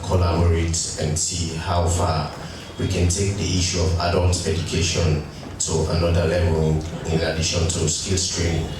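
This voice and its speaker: A man speaking for an organization at a conference, delivering a deliberate, halting formal speech with frequent fillers. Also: sits low. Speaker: NGO representative giving a speech. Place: conference hall. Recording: echoey hall from far off, noisy.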